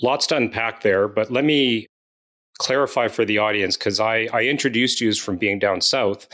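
A man with a Canadian accent hosts a podcast interview and asks his guest questions in a friendly, conversational way. His voice sits a little lower than most men's. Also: normal speed, fairly bright tone. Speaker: podcast host. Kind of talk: casual interview talk.

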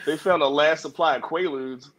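Male podcaster, notably high voice, blunt teasing swearer, casual banter with group chatter behind. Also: fairly even intonation, normal speed. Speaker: podcast co-host.

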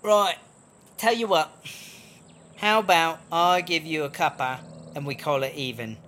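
A man's voice: a little high, measured pace, a bit bright. A man playing a crafty, foul-mouthed female prison wine brewer with a British accent, chummy and wheedling, in a comedy audio drama. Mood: cheeky, friendly.

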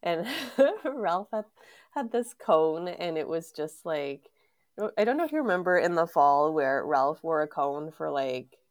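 A woman's voice: low voice, animated, measured pace. A congested woman co-hosting a podcast, chatting casually and giggling. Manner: giggly, chatty with many fillers. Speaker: podcast co-host. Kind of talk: casual chat.

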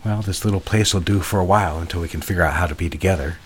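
A male actor as a jealous, controlling lover in an audio drama, with a very low voice. He gives orders in a measured, intimate way, over noticeable background noise. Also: lightly acted. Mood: controlling, tender. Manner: measured, directive, intimate murmuring.

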